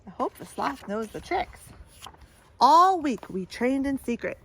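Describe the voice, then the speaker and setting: A woman reading a children's picture book aloud in an animated way, pausing to put questions and little comments to young listeners. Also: high-pitched, slight background noise, rough recording. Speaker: storybook reader.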